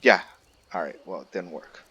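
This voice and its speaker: A man's voice: deep voice, moderate pace, bright, forceful tone, slightly rough. A man giving casual, rambling gameplay commentary, chatting informally to his viewers as the host. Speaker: gaming video host.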